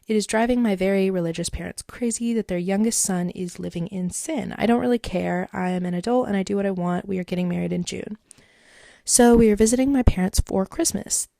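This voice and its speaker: A woman's voice: medium pitch, quick pace, creaky, mellow, no room sound, clean studio sound. A woman with a congested, stuffed-up voice hosting a solo podcast, chatting in a rambling way and reading advice posts aloud. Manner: chatty and rambling, many likes and fillers. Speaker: podcast host.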